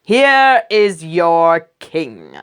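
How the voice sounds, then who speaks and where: A woman's voice: lowish voice, sing-song, very slow, clean tone, full character voice. A woman voicing an uneasy male Roman governor in a read-aloud scripture story, raised and questioning.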